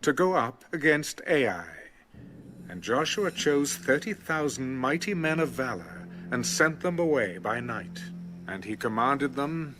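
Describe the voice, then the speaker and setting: A man narrating scripture as a scripted audio Bible reading, measured and formal. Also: medium pitch, lively intonation, poor audio. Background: music.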